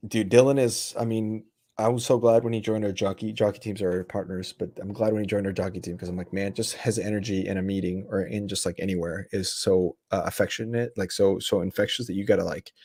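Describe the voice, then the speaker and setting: A man hosting a podcast in casual chat, upbeat and rambling, with many fillers and restarts as he gives shout-outs. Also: fairly low pitch, steady tone, a little fast, dry recording, studio quality. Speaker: podcast host.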